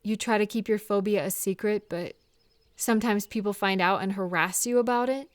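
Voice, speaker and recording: A woman playing a caring, slightly awkward friend in a comfort audio roleplay, speaking one-on-one in a gentle, earnest, hesitant and reassuring way. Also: medium pitch, moderate pace, no echo, lightly acted. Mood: sympathetic.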